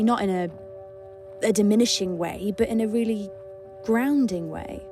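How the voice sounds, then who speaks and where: A woman sharing personal memories in an earnest, conversational, emphatic way, reflecting as she speaks. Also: medium pitch.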